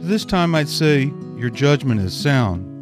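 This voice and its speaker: A man's voice: medium pitch, very animated, takes it slowly. A man voicing a kind, reassuring father in a rhyming Christmas story. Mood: gentle. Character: kind, steady young father. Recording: guitar music underneath. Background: acoustic guitar.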